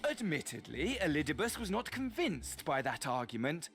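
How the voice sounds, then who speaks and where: A man's voice: fairly high pitch, very animated, moderate pace, fairly bright tone. An actor playing a gleefully nihilistic villain mastermind in a video game, mocking and grandiose like a mad showman. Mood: smug, theatrical. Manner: grandiose showman, swinging from mocking courtesy to mania. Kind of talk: theatrical video game voice acting. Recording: game audio with music behind.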